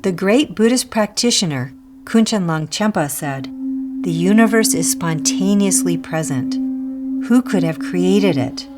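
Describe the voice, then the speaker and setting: Female reader, lower-pitched, for a contemplative scripted teaching; deliberate phrasing with pauses, set over loud music. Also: animated. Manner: measured, deliberate, pausing between short phrases. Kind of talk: scripted spiritual reading. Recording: music playing under the voice. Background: prominent music.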